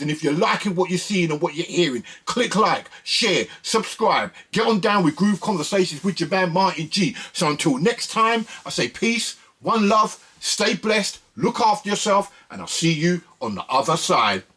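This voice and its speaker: A British man hosts a music video channel in a fairly high, husky voice. He talks casually and colloquially to his viewers, wandering through his thoughts and often checking in with little tag questions. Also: a bit bright. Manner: rambling and colloquial, with frequent tag questions like "you know what I mean". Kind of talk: casual chat to viewers about records.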